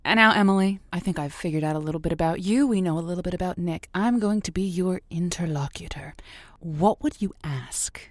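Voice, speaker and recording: A woman's voice: lowish voice, brisk, no echo, lightly acted. A woman playing an upbeat, encouraging matchmaking host on a radio comedy, chatty and playful with callers.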